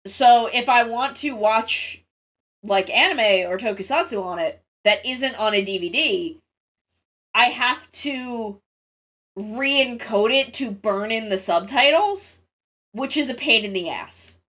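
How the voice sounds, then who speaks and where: A woman's voice: a little high, expressive, slow pace, fairly bright tone. A woman with a congested, sniffly nose chats casually to livestream viewers, rambling, interrupting herself and swearing freely.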